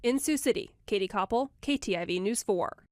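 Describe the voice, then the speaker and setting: A woman's voice: medium pitch, animated, a little fast, darkish tone, no room sound, studio quality. A woman working as a television news reporter, reading scripted narration.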